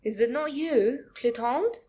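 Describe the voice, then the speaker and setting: An actress playing a secretive gentlewoman slipping out to meet her lover in a classic comedy audio play, in a hushed manner. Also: high voice, unhurried, breathy edge. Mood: furtive.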